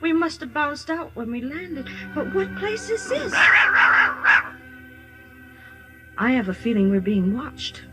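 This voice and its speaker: An earnest, trusting farm girl in a vintage radio play, her voice very high. She speaks in breathless, wide-eyed exclamations and hesitations, over prominent music in an old broadcast recording. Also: big pitch swings, moderate pace. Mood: awed. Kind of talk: 1950s radio drama acting.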